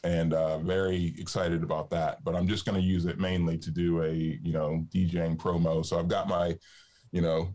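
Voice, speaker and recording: A man with a deep, resonant low voice, chatting casually as a podcast guest with plenty of fillers. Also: moderate pace. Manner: relaxed, rambling with fillers. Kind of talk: casual conversation.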